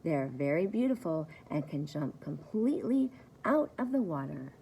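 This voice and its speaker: A woman reading aloud for young children like a preschool teacher, in a simple, deliberate way, repeating key words. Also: low voice, very animated, creaky, warm tone, a little hiss or hum, low-quality recording. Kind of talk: children's story time read-aloud.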